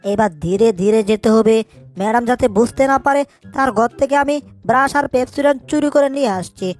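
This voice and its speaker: A woman's voice: on the high side, a little fast, darkish tone, soft background music, close to an everyday voice. A woman voicing a small, clever, anxious male mouse in a cartoon dub, fretting and exclaiming to himself. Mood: fussy, coaxing. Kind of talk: Bengali cartoon dub acting.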